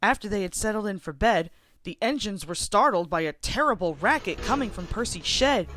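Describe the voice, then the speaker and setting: A woman reading a children's storybook aloud as an expressive narrator, with music underneath. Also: medium pitch, fairly quick, a little hiss or hum. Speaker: storybook reader.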